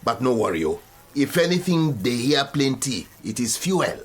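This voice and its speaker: An actor playing a wily, menacing Nigerian fixer in a radio drama, speaking theatrically with a Nigerian accent and pidgin turns of phrase. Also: male voice, mid-range voice, sing-song, normal speed, husky. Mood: sly. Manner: pidgin English, theatrical, sly and taunting. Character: wily, menacing Nigerian male fixer who acts as the law.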